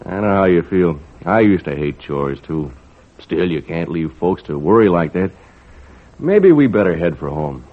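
A man playing a kindly, easygoing lobster fisherman in an old-time radio drama, folksy, gentle and a little hesitant. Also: sits low, lively intonation, a little slow. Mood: gentle coaxing. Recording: narrow, tinny old radio recording.

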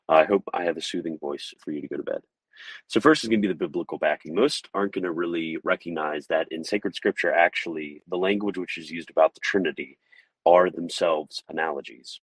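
Man, very low husky voice, dry sound, methodical livestream lecturer speaking from his car. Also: no room sound, low-quality recording. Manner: methodical, lays out numbered points and explains term by term. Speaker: livestream theology presenter. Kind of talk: informal spoken lecture to a small live audience. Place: inside a parked car.

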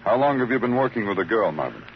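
A man's voice: lowish voice, very animated, quick pace, a little hiss or hum. An actor playing a world-weary police detective in an old radio noir drama, terse in dialogue and brooding when he narrates. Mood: terse, businesslike. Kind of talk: 1940s-50s radio noir narration and acting. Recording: dull old radio recording, music behind.

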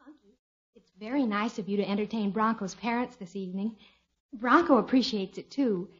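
A woman's voice: medium pitch, fairly quick, close to an everyday voice. A woman playing a sweet, polite young married niece in vintage radio comedy. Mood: polite, affectionate. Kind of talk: old radio comedy acting. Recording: muffled, faintly noisy vintage radio.

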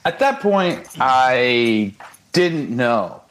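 A man's voice: mid-range voice, sing-song, very slow, a bit bright, a little hiss or hum. A man, a band member guest, speaking hesitantly and reflectively in casual chat. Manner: hesitant, searching for words.

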